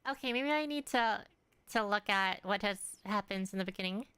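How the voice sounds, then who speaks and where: A woman's voice: mid-range voice, moderate pace, bright, forceful tone, clean tone, no echo. A young woman streaming a game, thinking aloud in a rambling, hesitant way with lots of fillers. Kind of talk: casual gameplay commentary.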